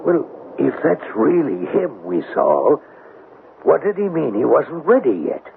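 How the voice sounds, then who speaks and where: A man's voice: on the high side, very animated, creaky, phone-quality sound, full character voice. Anxious father in a noisy old radio drama. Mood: grim, fearful. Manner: halting, stammering, fretful. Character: anxious, grieving father, an older townsman. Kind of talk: 1970s radio drama acting. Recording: band-limited, noisy old radio broadcast.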